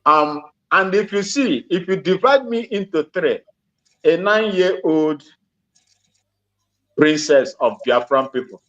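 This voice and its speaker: A man, an Igbo speaker, calling in and talking politely in a halting way. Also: fairly high pitch, animated, fairly bright tone, muffled recording. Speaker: caller. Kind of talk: casual call-in talk.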